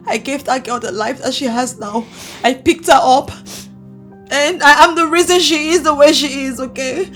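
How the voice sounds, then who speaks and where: An actress playing an anguished, defiant grieving mother with a Nigerian accent in a film drama, pleading and repeating herself. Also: high voice. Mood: defensive, upset. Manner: pleading, repetitive, emotional. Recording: music playing behind the voice.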